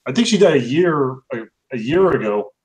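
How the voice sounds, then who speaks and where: A man's voice: mid-range voice, very animated, moderate pace. Young guy hosting, rambles, lots of uhs and laughs, average voice. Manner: rambling, filler-heavy, laughs often. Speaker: host. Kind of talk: casual chat.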